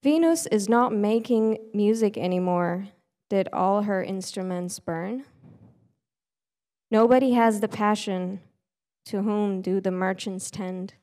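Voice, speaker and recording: A woman giving a solemn, measured recitation of poetry to a hall as a student reader. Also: mid-range voice, mellow. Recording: reverberant hall.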